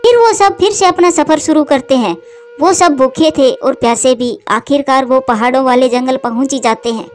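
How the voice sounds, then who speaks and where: A woman's voice: sits high, normal speed, darkish tone. A woman narrating a scripted children's story for a cartoon.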